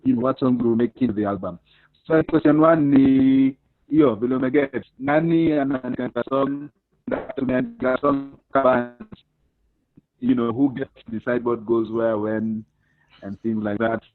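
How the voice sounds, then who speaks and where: A man with a Kenyan accent hosting a video call interview, lively as he directs the participants and reads out viewers' questions. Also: medium pitch, no echo. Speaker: host. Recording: tinny phone-like, dry call audio.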